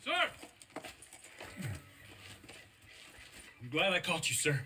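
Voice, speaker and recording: Army captain, rapid speech, crisp forceful tone, polite, earnest, deferential, video game drama. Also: male voice, a little high, wildly swooping pitch, a little hiss or hum, full character voice. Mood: respectful. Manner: brisk, deferential, clipped military courtesy. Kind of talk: cinematic video game acting.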